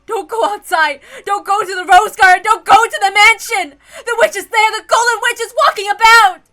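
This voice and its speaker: A woman voicing a panicked, hysterical, feverish wife who wails and sobs for her husband in a frantic, shrill voice, in a story reading. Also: steady tone, pushed and bright. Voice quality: shrill, wailing. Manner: frantic, sobbing, drawn-out pleading.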